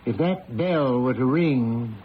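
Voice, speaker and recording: An actor playing a polite, cautious village house agent, speaking in a courteous, hesitant, foreboding way in an old-time radio drama. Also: male voice, mid-range voice, animated, slow pace. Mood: uneasy, ominous. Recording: dull, noisy old radio recording.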